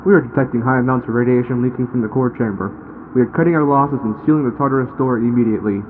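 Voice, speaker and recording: A man's voice: mid-range voice, steady tone. Man acting a stern announcer over crackly radio. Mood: stern, urgent. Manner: brisk, authoritative. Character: stern, threatening male facility security announcer. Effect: radio filter. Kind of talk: scripted emergency announcement. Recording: noisy, narrow radio transmission.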